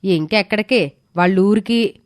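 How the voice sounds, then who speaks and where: A woman's voice: medium pitch, moderate pace, dry recording, studio quality, lightly acted. A woman voicing a defensive, scolding hostel warden with a sarcastic, reproachful manner.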